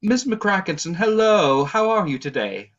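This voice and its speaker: A man acts out a flustered, deferential male lobby attendant in an audio play. His pitch moves around a lot as he hesitates and tries to please, and the recording is a little dull. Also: very animated, measured pace, a bit bright, exaggerated voice. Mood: polite.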